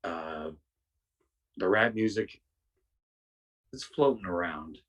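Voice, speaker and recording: A man with a raspy voice chatting casually as a podcast guest, self-deprecating and loose with slang and swearing. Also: deep voice, slow. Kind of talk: casual interview chat. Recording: distant from the mic.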